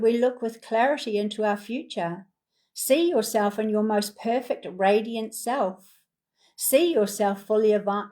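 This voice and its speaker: A woman's voice: mid-range voice, darkish tone. Soothing woman guiding a healing meditation. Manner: soothing, repetitive affirmations. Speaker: meditation guide.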